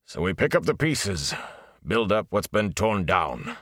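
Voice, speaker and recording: A man plays a tired, heavy-set middle-aged blacksmith from a small village, speaking in a very deep, dry voice. His words come slow and deliberate, gruff but reassuring, as in a storybook reading. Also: very animated, fairly bright tone, no room sound, exaggerated voice. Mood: weary, steadying. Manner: gruff comfort to a younger man.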